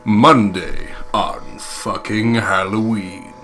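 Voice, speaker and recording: A man's voice: fairly low pitch, fairly even intonation, extremely slow, pushed and bright, a little hiss or hum. A young man hosting a let's play, reading a visual novel aloud with playful, sweary commentary.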